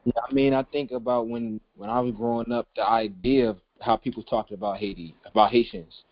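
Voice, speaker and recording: A man on a podcast call recalling his youth in a reflective, halting way. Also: mid-range voice, fairly even intonation, dry recording. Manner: reflective, hesitant with fillers. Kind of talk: casual discussion. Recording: dry, dull lo-fi call audio.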